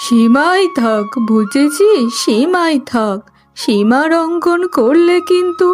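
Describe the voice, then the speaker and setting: A woman voicing a harsh, scornful village mother-in-law who speaks coldly and threateningly in an animated moral story. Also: sits high. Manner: cold, threatening, slow. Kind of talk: Bengali cartoon moral story dubbing.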